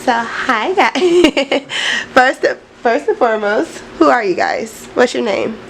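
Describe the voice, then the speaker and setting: A woman's voice: on the high side, expressive, takes it slowly, gravelly, crowd noise. A woman interviewing a band in a casual, upbeat way, with loose phrasing and frequent small laughs.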